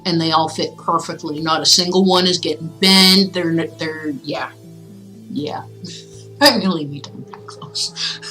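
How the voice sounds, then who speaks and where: A woman livestreaming in a chatty, expressive way, as a host who reads aloud and talks with viewers. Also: sits low, measured pace, low-quality recording. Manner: chatty, expressive, breaks off into asides. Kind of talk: casual livestream chat with storybook reading aloud. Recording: music playing behind the voice. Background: background music.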